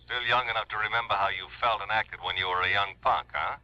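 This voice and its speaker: An American actor playing a teasing insurance colleague who talks in a needling, roundabout way in a 1950s radio drama. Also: male voice, lowish voice, fairly even intonation, fast talker, sharp tone, no echo. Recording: dull, dry old radio recording.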